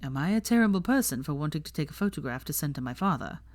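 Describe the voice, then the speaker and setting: A woman's voice: low-pitched, expressive, fast, darkish tone, no room sound. A woman voicing a haughty, sardonic young male wizard with a drawl in a story reading. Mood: incredulous.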